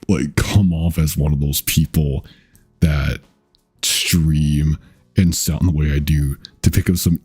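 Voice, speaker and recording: A young man with an extremely low, deep voice that sounds dark and mellow, with a husky edge. He chats casually with his viewers, rambling and restarting his sentences, dropping fillers and swear words. Faint music plays softly behind him. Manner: rambling, halting, with many fillers and self-corrections; swears casually and laughs often. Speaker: VTuber streamer. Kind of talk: casual chat with stream viewers.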